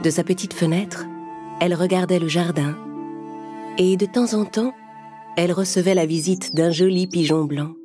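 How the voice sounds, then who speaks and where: Low-pitched woman narrating a children's fairy tale. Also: steady tone, moderate pace, long pauses. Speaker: storyteller. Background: music.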